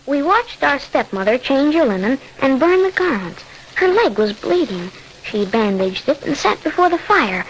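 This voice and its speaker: A woman's voice: high-pitched, big pitch swings, normal speed. A woman playing an earnest, fearful young boy who narrates and acts in a 1940s radio horror drama. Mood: grave, suspenseful. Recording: slightly noisy 1940s radio recording.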